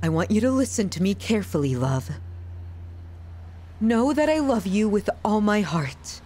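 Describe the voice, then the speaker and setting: A woman playing an obsessive, possessive yandere lover in a dramatic ASMR roleplay, pleading intimately and haltingly with the listener. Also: medium pitch, big pitch swings, warm tone, a little hiss or hum, natural voice. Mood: fervent devotion.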